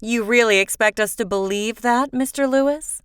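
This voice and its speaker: High-voiced stern female prosecutor played by an actress. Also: normal speed, a bit bright, smooth, no room sound, studio quality, lightly acted. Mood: pointed questioning. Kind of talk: animated comedy courtroom dialogue.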